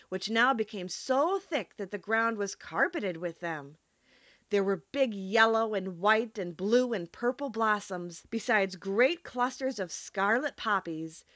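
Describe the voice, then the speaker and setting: A woman narrating a children's audiobook in an expressive storytelling style. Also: mid-range voice, dry recording.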